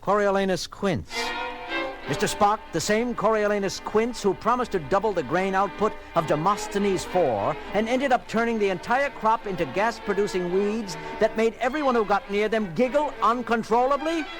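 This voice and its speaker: A man's voice: high-pitched, normal speed, over music, natural voice. A man playing an indignant, authoritative starship captain in a science fiction audio drama, with emphatic, exasperated delivery.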